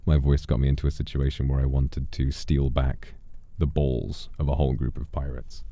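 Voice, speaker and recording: Give the stream a man with an extremely low, very dark and mellow voice, playing a centuries-old demon persona. He tells stories in a musing, theatrical manner with a creaky edge, close on the microphone. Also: little pitch movement, normal speed, no echo, dull audio. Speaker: livestream host. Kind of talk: in-character storytelling and casual livestream chat. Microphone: close studio microphone, dry.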